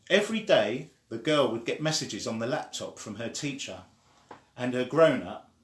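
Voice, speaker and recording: A man reading a children's story aloud in an expressive way, sometimes stumbling and starting again. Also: medium pitch. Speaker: story reader. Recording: echoey room.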